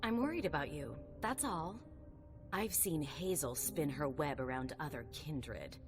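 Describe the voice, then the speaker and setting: A female vampire sire in a video game cutscene, her voice notably deep. She is cynical and guarded yet protective, speaking with dry, emphatic irony over prominent music. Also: expressive, unhurried. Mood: wary, warning. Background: background music.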